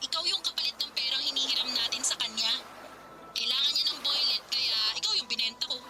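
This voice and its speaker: A Filipino woman in a radio drama playing a callous, scheming young wife with a brisk, scolding, dismissive manner. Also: sits high, loud music behind the voice, natural voice, low-quality recording.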